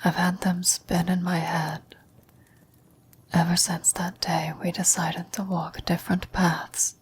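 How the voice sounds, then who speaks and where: A woman playing a frail, dying old woman in a whispered ASMR roleplay, gentle, wistful and philosophical, speaking slowly and haltingly. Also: on the low side, level tone, a little hiss or hum. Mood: wistful, reflective. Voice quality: breathy whisper. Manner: slow, halting, reflective delivery with long pauses.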